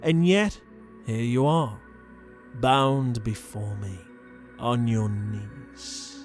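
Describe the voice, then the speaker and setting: An actor playing a zealous, smug holy inquisitor in an audio drama, speaking in a pompous, deliberate, formal manner. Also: male voice, mid-range voice, soft background music. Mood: smug, menacing. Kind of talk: dramatic audio roleplay acting.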